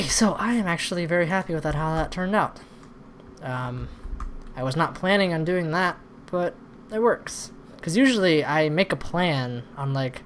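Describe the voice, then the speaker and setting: A man doing casual let's-play commentary, thinking aloud in a rambling way, with a slightly hoarse voice. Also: a little high. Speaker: host of a gaming channel. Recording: noisy recording with background ambience.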